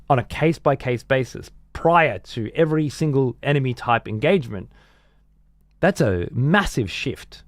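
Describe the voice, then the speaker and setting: A hoarse man casually commenting on a game he is streaming, rambling and swearing freely. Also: medium pitch, dry recording, clean studio sound.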